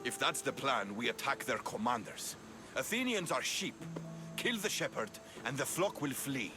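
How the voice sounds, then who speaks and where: A blunt, proud Spartan commander, voiced by a man, barking orders with a lively, swinging delivery. His middle-pitched voice rides over a loud game score. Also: normal speed, music in the background, natural voice. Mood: commanding. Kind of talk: video game cutscene acting. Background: orchestral score.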